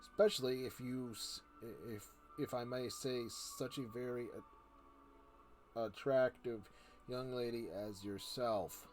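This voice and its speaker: A man's voice: mid-range voice, steady tone, takes it slowly, darkish tone, faint music behind, close to an everyday voice. A man voicing a sly, creepy old uncle from a storybook, falsely genial and coaxing. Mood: wheedling. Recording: noticeable background noise.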